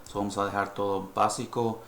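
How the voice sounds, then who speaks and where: A man from California who grew up speaking English, presenting a live-stream dental lesson in halting Spanish that he apologizes for, mixing in English words. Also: lowish voice, flat delivery, fairly bright tone, slight background noise. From United States (California). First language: English (heritage Spanish speaker). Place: dental lab. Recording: echoey lab room, faint ambience.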